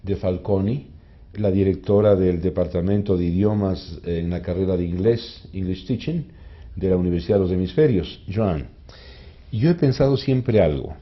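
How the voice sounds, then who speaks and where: A man's voice: sits low, moderate pace, muffled recording. A man with an Ecuadorian accent hosting a radio interview, speaking in an emphatic, rhetorical, opinionated way. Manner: emphatic, rhetorical, repeats questions for effect. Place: radio studio.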